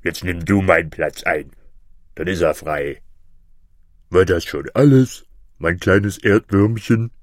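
Man voicing a deep, gruff griffin bird. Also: no echo, clean studio sound. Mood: scornful, commanding. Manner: slow, scornful, commanding. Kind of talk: fairy-tale storybook reading.

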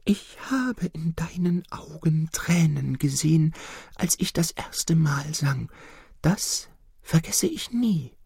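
Male reader voicing a kind little songbird, soft, high and breathy. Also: lively intonation, slow, no echo, clean studio sound, heavily acted. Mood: tender. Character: small gentle female nightingale, kind and soft.

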